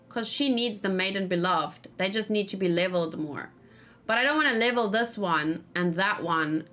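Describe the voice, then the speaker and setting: A woman with a mid-range voice gives casual live commentary on a game. She thinks aloud and chats to her viewers in an informal way, with animated exclamations mixed into the talk. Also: medium pitch. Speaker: gaming streamer.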